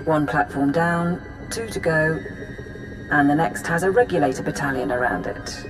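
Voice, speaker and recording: A female military intelligence officer in a video game , voiced by an actress, with a very low voice. She is pragmatic, dry-witted and businesslike as she briefs her commander. Music runs clearly behind her. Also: moderate pace, natural voice, poor audio. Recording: game audio under loud music. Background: orchestral game music.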